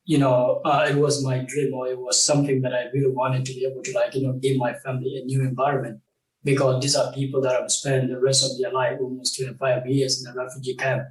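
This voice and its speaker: A young man from South Sudan, a game company founder, talking as an interview guest in a halting way, with many fillers and restarts. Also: mid-range voice, little pitch movement, echoey room, distant mic, rough recording. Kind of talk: casual interview conversation.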